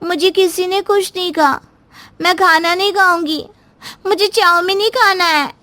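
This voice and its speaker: Woman voicing a high-pitched, childlike naive young woman. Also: steady tone, unhurried, pushed and bright, dry recording, heavily acted. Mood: stubborn. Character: naive, childlike young sister-in-law, sweet and pleading. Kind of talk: children's moral story voice acting.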